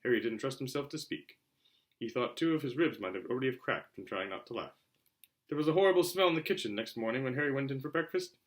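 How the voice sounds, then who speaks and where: A man narrating a children's novel aloud in an expressive storytelling style. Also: on the high side. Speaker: audiobook reader.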